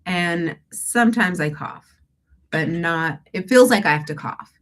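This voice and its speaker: A woman's voice: on the low side, lively intonation, a little slow. A woman coaching listeners on goals and breathing, slightly stuffy from a cold, rambling and self-correcting and often asking "right?". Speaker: declutter coach hosting a call-in show. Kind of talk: casual coaching talk with breathing guidance.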